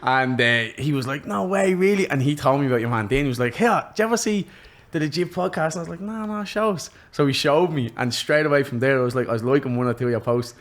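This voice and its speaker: A young Irish man chatting casually as a podcast guest, telling lively slangy stories and laughing often. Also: on the high side, quick pace. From Ireland. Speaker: podcast guest, professional boxer. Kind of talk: casual chat.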